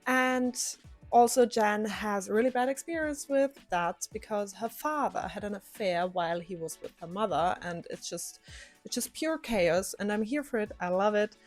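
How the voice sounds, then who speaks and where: A woman's voice: medium pitch, lively intonation, normal speed, faint music behind. A woman book vlogger talking to camera in a casual, chatty, enthusiastic way.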